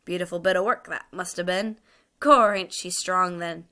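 A woman's voice: fairly low pitch, steady tone, moderate pace, pushed and bright. A woman reading a children's storybook, voicing cheeky, gleeful male onlookers with Cockney accents. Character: cheeky, gleeful Cockney males in a street crowd.

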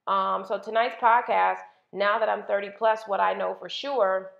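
A woman's voice: medium pitch, fairly even intonation, moderate pace, gravelly, a bit bright. A woman in her thirties hosting a casual solo podcast, talking candidly with lots of "like" and "um". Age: 30s. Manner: chatty, candid, uses filler "like" and "um". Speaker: podcast host. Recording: roomy, dull lo-fi sound.

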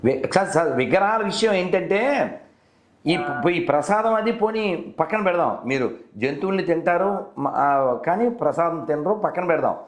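A man's voice: fairly high pitch, animated, moderate pace. A man debating conversationally on a call, persistently probing with leading questions as the host. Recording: roomy, echoey phone call recording.

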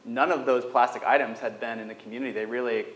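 A man's voice: mid-range voice, fairly even intonation, fast, fairly bright tone. A young man on a panel, answering earnestly and thoughtfully with frequent ums. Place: large wood-paneled lecture hall. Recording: roomy hall sound, faint ambience.

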